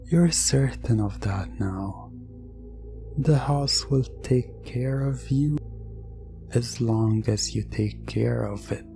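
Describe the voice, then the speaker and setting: A man delivering scripted hypnosis roleplay narration in an ASMR style, soothing and suggestive, with long pauses. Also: medium pitch, creaky, mellow, soft background music.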